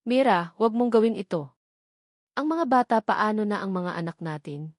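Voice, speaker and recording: A woman's voice: medium pitch, animated, moderate pace, no room sound, studio quality, natural voice. A woman voicing a worried, guilt-ridden husband who pleads, in an audio drama. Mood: worried, pleading.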